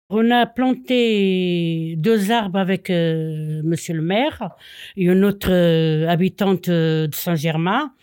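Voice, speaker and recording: A woman in her sixties, born in Portugal, speaking as a local resident in an interview, pausing often with fillers and restarts. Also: mid-range voice, a little mellow, dry recording, studio quality. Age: 60s. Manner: hesitant, many fillers and restarts. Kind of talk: interview testimony.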